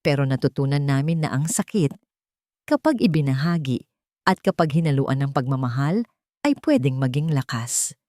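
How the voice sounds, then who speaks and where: A woman voicing a reflective wealthy father giving a calm, earnest speech in a storybook. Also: extremely low pitch, normal speed, no room sound, clean studio sound.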